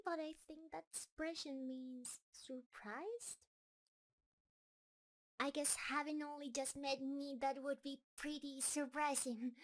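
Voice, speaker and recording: A woman's voice: sits high, low-quality recording. A woman playing a shy, lovestruck giant spider creature in a roleplay audio drama, speaking tenderly and hesitantly to the listener. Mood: nervous. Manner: nervous, hesitant, tender, halting.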